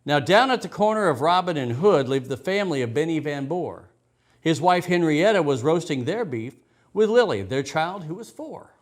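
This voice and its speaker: A man with a fairly high voice reads a children's story in rhyme. His delivery is expressive and rhythmic, with very animated pitch that rises and falls as a storyteller's does. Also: normal speed.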